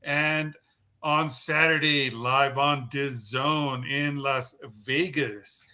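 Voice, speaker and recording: Slow-talking bright-voiced man. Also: mid-range voice, steady tone. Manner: loud, laid-back, laughs often, says bro. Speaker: podcast co-host. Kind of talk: casual fight podcast rundown.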